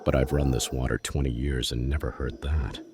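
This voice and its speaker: Man playing a very deep gravelly swamp boatman. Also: steady tone, normal speed, background noise. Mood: gruff, steady. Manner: folksy drawling swamp talk. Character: grizzled veteran male swamp boatman, folksy, hard to spook. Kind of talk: radio play acting.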